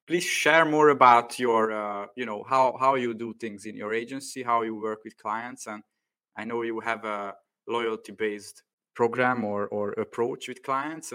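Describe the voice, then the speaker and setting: A man's voice: lowish voice, creaky, sharp tone. A man hosting a podcast interview in a casual chat, with many hesitant fillers. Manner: many "uh" fillers, loose phrasing. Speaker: podcast host.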